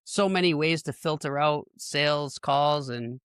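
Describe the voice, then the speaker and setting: A man's voice: on the high side, a little slow, a bit bright, smooth, no echo, clean studio sound. A man hosting a podcast, talking casually and informally, with a self-deprecating manner and a lot of "like" in his phrasing. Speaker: podcast host. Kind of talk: casual conversational podcast talk.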